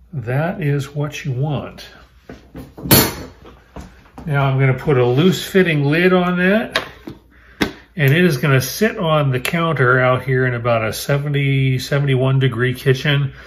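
A man in his fifties hosting a home cooking show, explaining each step in a relaxed way as he works in his kitchen. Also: mid-range voice, measured pace, pauses often, background noise. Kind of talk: casual cooking demonstration.

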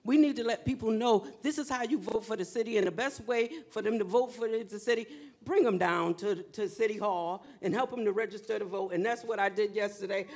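A woman's voice: medium pitch, brisk, darkish tone. Emphatic woman pastor with a big voice. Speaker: pastor and mayoral candidate. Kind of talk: candidate forum answer. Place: library meeting room. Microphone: handheld microphone.

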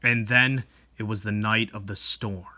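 A man's voice: on the low side, fairly even intonation, a little slow, sharp tone. A man giving a dramatic reading of a horror comic as an amateur reader.

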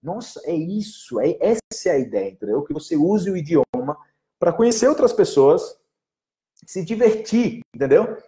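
A man, teaching in a casual live stream, animated and often checking that listeners follow. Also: fairly high pitch, moderate pace. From Northeast Brazil. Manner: animated, gestures a lot, often asks "entendeu?". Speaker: Portuguese teacher.

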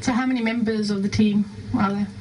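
A woman's voice: mid-range voice, fairly even intonation, fairly quick, a little mellow, husky. A woman working as a radio interviewer, putting prepared questions to a guest. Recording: distant mic with background noise.

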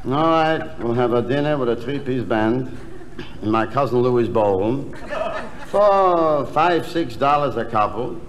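Man acting gruff stingy husband, slow delivery, swooping pitch, 1960s comedy record, reverberant hall. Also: lively intonation, background noise, natural voice. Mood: grudging.

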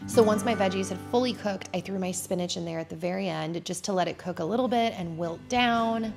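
A woman's voice: medium pitch, fairly quick, vocal fry, music in the background. A woman in her thirties, a vlogger chatting casually at home as she narrates her cooking. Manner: chatty, laid-back, with casual fillers. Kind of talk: cooking vlog voice-over. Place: home, indoors.

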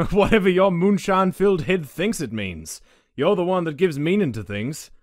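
A man's voice: high voice, lively intonation, moderate pace, no room sound, studio quality. A man voicing a suave, condescending dice-headed cartoon casino manager who explains with a teasing drawl. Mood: sly, teasing. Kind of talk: animated comic dub acting.

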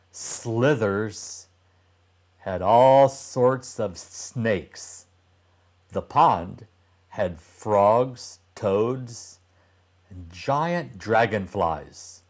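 An older man reading a children's story aloud with expressive, deliberate and emphatic delivery. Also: on the low side. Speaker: storybook reader for young children.

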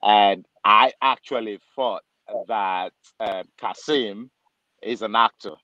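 A man's voice: fairly low pitch, slow, bright, forceful tone, dry recording. A man from Nigeria who works as a barber, telling a story on a video call, chatty and acting out the voices of people in it. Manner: chatty, acts out dialogue, many fillers. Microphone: webcam on a video call. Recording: dull lo-fi video call audio.

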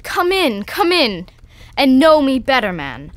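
A woman's voice: wildly swooping pitch, measured pace, no room sound, exaggerated voice. A woman voicing a jolly, commanding giant male Christmas spirit in a storybook reading. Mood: hearty. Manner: booming, commanding. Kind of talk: audiobook character reading.

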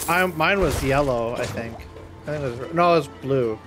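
A man's voice: medium pitch, normal speed. A man chatting casually with friends while playing a video game together. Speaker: gamer. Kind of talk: casual co-op gaming chat. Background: game music.

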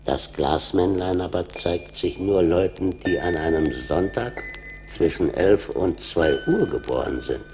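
An actor playing a solemn teller of forest legends in a 1980s radio play, deliberate and mysterious. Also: male voice, low voice, expressive, a little mellow, slight background noise.

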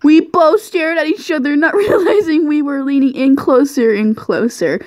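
A woman's voice: high-pitched, normal speed, a little mellow, dry recording, studio quality. A woman doing a casual, goofy read-aloud of fan fiction, giggly and often stumbling over her words. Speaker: amateur reader of fan fiction.

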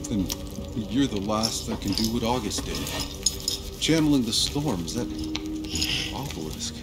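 Determined scout, gruff, lowish voice, lively intonation, video game drama. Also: male voice, measured pace. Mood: wary, intent. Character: determined, gruff male soldier scout, pleading for help. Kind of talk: video game cutscene acting.

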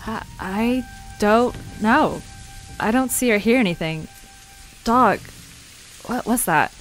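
A woman's voice: a little high, lively intonation, takes it slowly, faint music behind, rough recording. A woman playing a curious, sensible female adventurer in an audio drama, speaking in an earnest, conversational way. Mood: frightened, urgent. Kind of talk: audio drama acting, natural dialogue.

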